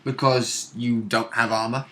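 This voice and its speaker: A man in casual, animated, slangy chat as a tabletop role-playing player, acting out moments from the game. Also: medium pitch, unhurried.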